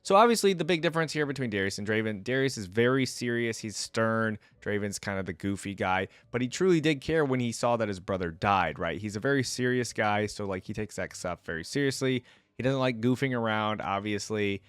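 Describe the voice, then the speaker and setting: A man's voice: fairly low pitch, lively intonation, brisk, fairly bright tone, smooth, dry recording. A man casually commentating as the host of a reaction video, chatting informally like a fan with frequent asides.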